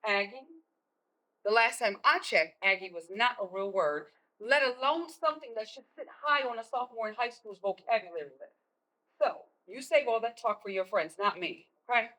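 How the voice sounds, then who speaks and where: Stage role: exasperated, no-nonsense American mother, folksy turns of phrase, forceful and higher-pitched. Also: moderate pace, poor audio. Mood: stern, questioning. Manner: scolding, folksy colloquial phrasing. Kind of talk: stage play acting.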